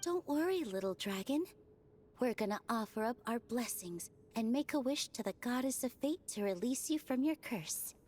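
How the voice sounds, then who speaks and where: A woman's voice: a little high, expressive, moderate pace, a little mellow. A woman voicing a small, friendly flying squirrel fairy in a video game, curious and helpful in a childlike way. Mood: kind, reassuring. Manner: friendly, childlike, plain-spoken.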